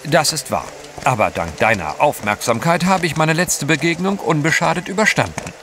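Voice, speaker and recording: Actor as a lively, courteous English gentleman. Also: fairly high pitch, normal speed, background noise, lightly acted. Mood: composed. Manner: formal, courteous phrasing. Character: polite, unflappable English gentleman adventurer. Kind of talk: audio drama acting. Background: dramatic music.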